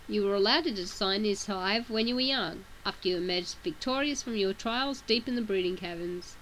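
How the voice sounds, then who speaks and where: A woman's voice: medium pitch, slight background noise, poor audio. A woman with a sore throat reading a webcomic aloud, with casual asides between the read text. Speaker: reader of a webcomic.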